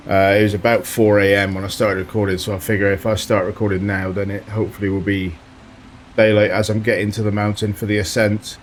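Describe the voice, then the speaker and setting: A man with a slight sore throat giving relaxed commentary while playing a driving game, thinking aloud as he goes. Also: on the low side, a little hiss or hum. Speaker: let's play host. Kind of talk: casual gameplay commentary.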